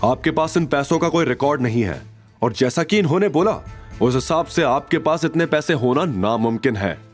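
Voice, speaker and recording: A man's voice: mid-range voice, expressive, normal speed, soft background music, voice pushed up, natural voice. A man voicing a suspicious, officious male sales manager who accuses and threatens in an audio drama. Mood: threatening.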